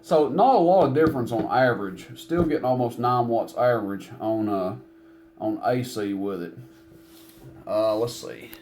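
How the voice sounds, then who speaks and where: A man's voice: medium pitch, moderate pace, darkish tone, a little hiss or hum. A man giving a casual hands-on equipment demonstration in a plainspoken, folksy, matter-of-fact way. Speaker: CB radio seller demonstrating equipment.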